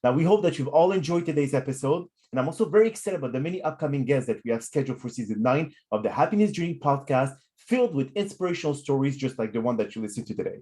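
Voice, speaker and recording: A man's voice: mid-range voice, expressive, darkish tone. Polite male podcast host. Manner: polite, affirming, measured. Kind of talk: podcast interview and scripted closing.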